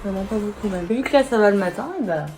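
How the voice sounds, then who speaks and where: A young woman with a hoarse voice, chatting casually to camera in a beauty vlog, full of slang and swearing. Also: medium pitch, fast, darkish tone, soft background music. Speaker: beauty vlogger.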